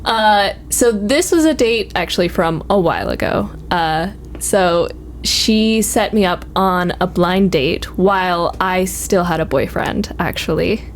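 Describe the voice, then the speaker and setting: A woman's voice: lowish voice, measured pace. A woman in her twenties chatting and telling personal stories casually as a guest, with some noise behind her. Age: 20s. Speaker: university program administrator, guest. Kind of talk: casual conversational storytelling. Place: office. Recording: noticeable background noise.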